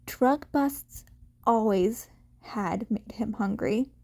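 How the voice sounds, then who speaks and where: Woman reading a story, real slow, kinda mellow with lots of vocal fry. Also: a little high. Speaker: amateur fiction reader. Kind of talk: scripted story narration.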